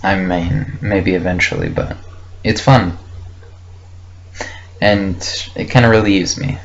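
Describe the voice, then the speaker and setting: Low-voiced young man rambling, muffled recording. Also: huge pitch swings, takes it slowly, creaky. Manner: hesitant, reflective, thinking aloud in short fragments. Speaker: vlogger recording a personal audio log. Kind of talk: casual unscripted rambling monologue. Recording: muffled lo-fi with faint background noise.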